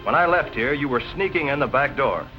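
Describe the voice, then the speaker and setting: An actor playing an anxious husband suspected of murder, earnest and insistent, in 1940s film noir style. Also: male voice, mid-range voice, expressive, a little fast, dull audio, music in the background, close to an everyday voice. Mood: insistent, accusing.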